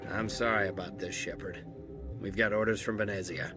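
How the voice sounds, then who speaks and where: A man's voice: fairly low pitch, fairly even intonation, moderate pace, music in the background, close to an everyday voice. A man playing a security soldier who acts on orders in a video game. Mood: tense, resolute.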